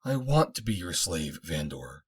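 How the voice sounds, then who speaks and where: A man's voice: low-pitched, sing-song, measured pace, husky, heavily acted. A man voicing a devoted, emotional young woman freed from captivity, speaking fervently in formal, old-fashioned phrases for an adventure story. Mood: tender devotion.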